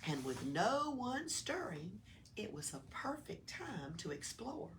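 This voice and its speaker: A woman reading a children's story aloud with dramatic emphasis, sometimes hushed, recorded at a distance in a library. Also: medium pitch, big pitch swings, slow. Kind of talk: expressive children's read-aloud. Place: small town library. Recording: distant mic with room noise.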